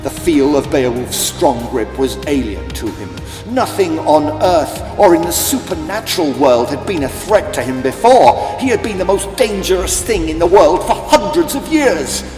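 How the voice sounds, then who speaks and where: A man's voice: on the high side, lively intonation, normal speed, hardly any pauses. A man narrating a children's audiobook as a dramatic, scripted storyteller. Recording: echoey, long hall-like reverb. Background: music.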